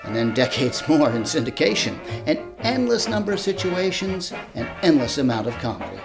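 A man's voice: on the high side, sing-song, fairly quick, vocal fry. An older man hosting, presenting a scripted introduction to camera. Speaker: host. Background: music.

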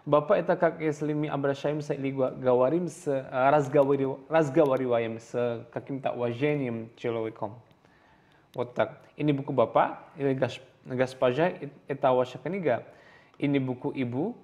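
A man with an Indonesian accent speaks as a teacher over slides in a second language. He hesitates often, restarts words and repeats each example slowly. His voice sits at an ordinary middle pitch. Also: darkish tone, highs cut off. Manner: hesitant, many fillers and restarts, repeats phrases. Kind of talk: slide-based language lesson.

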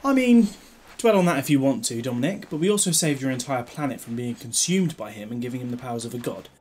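A man's voice: mid-range voice, animated, fast, darkish tone, lightly acted. A British man playing a glib, protective time-travelling hero in an audio drama, wry and chatty with a scolding streak. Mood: brisk, wry. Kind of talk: fan audio drama acting.

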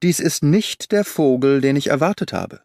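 A man voicing a sharp, confident male detective with an ironic edge, pitched higher than his narration. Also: lively intonation, normal speed, no room sound, clean studio sound. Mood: wry.

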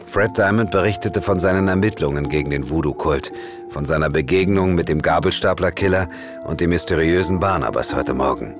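Guy playing grim urgent detective man in a german audio drama, really low voice, music under it. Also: fairly quick, lightly acted. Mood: somber, suspenseful narrating. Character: grim, urgent male Scotland Yard investigator. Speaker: lead actor and narrator. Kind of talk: German audio drama, first-person narration and dialogue. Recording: music bed behind the voice.

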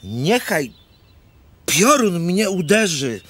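An actor playing a dreamy, affected middle-aged romantic count in a radio drama, speaking in a poetic, theatrical way. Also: male voice, high voice, sing-song, very slow, fairly bright tone, slight background noise, full character voice. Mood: dazed, haunted.

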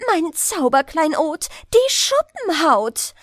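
An imperious enchanted lady from a storybook, acted by a woman, calling out loud and high. Her commands ring proudly, with sweeping pitch. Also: big pitch swings, slow pace, no room sound, studio quality, exaggerated voice. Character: proud, strange lady commanding from a magic carriage. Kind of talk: storybook character voice.

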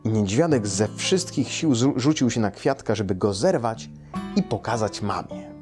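A man's voice: fairly low pitch, animated, moderate pace, over music. A man, a storyteller reading a children's tale aloud in a room. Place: small furnished room, indoors.

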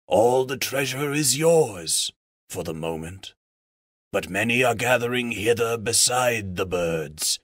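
A man voicing a decrepit, wise old raven chief in a storybook, croaking out solemn, formal counsel. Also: fairly low pitch, fairly bright tone, no room sound, clean studio sound, full character voice. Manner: slow, formal, archaic counsel.

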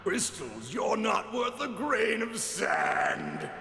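An actor playing a huge evil demon villain in a cartoon, menacing, gloating and theatrically grandiose. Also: male voice, sits high, wildly swooping pitch, takes it slowly, gravelly, heavily acted. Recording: long echoing reverb, music behind.

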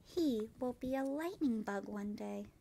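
A woman's voice: a little high, animated, unhurried, gravelly, dark tone, a little hiss or hum. A woman voicing a small, sulky, self-doubting young male cricket in a storybook, plaintive and defiant. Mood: sad, plaintive.

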